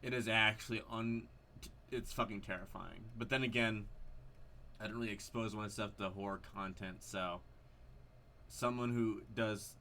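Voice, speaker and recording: A man's voice: fairly low pitch, steady tone, moderate pace, sharp tone, a little hiss or hum. A man giving casual, unscripted game commentary, hesitant and rambling as he thinks aloud. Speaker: video creator commenting on a horror game.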